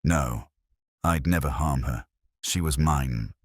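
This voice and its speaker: A man's voice: very deep voice, slow, slightly rough, no room sound, clean studio sound, full character voice. A man voicing a jealous, possessive braggart in a mystery story, sneering and defiant.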